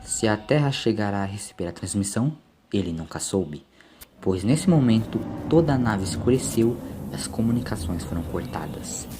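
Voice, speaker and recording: A man's voice: fairly low pitch, a little hiss or hum. A man narrating a scripted dramatic story. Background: faint music.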